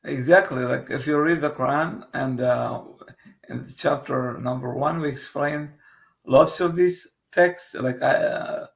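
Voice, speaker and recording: Slow gravelly-voiced man, Kurdish native. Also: medium pitch. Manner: halting, many fillers and restarts, slow and careful. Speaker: host presenting his book. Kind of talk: casual video interview.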